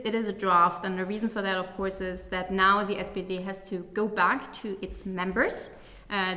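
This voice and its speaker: A woman's voice: medium pitch, pushed and bright. A woman giving explanatory commentary as a political analyst on a panel, emphatic and precise in how she explains. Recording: echoey, reverberant hall.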